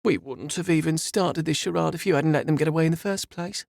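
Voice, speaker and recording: A man's voice: fairly high pitch, fairly quick, gravelly, a little mellow, no room sound, studio quality, pitched above the performer's own voice. A man voicing a scheming young woman who talks down to others in a cold, condescending way. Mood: mocking. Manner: condescending, sing-song.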